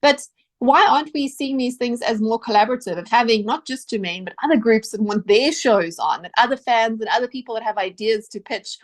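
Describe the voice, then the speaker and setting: A woman's voice: fairly high pitch, a little fast. A woman talking as a guest on a radio panel, articulate and questioning.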